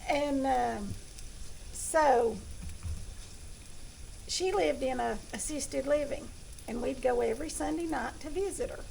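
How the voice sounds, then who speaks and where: A woman's voice: sits high, slow, vocal fry, long pauses, a little mellow, slight background noise, low-quality recording. A middle-aged woman with a Southern US accent, chatting casually as a home cooking host. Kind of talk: casual chat. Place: home kitchen with food sizzling.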